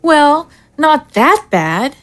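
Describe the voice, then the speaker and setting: A woman's voice: sits high, very animated, very slow, full character voice. A woman playing a frightened young deserter in an audio drama, speaking in an earnest, pleading manner. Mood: earnest, downcast. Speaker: voice actor. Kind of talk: scripted audio drama acting.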